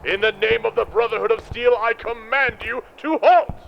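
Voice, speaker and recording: A man's voice: very high-pitched, normal speed, creaky. A man playing a pompous military paladin in a post-apocalyptic audio drama, issuing declamatory commands. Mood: commanding. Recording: dull band-limited sound, loud battle noise.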